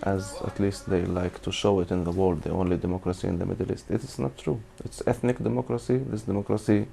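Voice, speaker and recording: A man speaking quickly in an interview, his voice low and gravelly. The tone is dark and mellow. He talks earnestly and restarts his phrases now and then. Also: steady tone, a little hiss or hum. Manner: earnest, halting with small restarts. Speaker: screenwriter interviewed. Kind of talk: interview answers.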